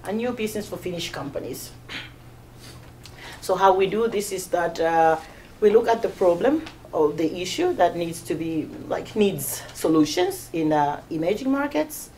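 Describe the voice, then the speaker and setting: A woman's voice: medium pitch, darkish tone, a little hiss or hum. A woman in her thirties from Tanzania, giving an informal talk to a small audience, hesitant with many fillers and restarts. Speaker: guest speaker.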